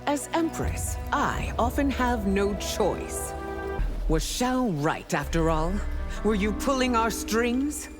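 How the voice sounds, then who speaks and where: A woman's voice: on the high side, lively intonation, slow, over music. A woman playing a proud, guarded empress in a fighting video game, stern and formal. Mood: wary, reproachful.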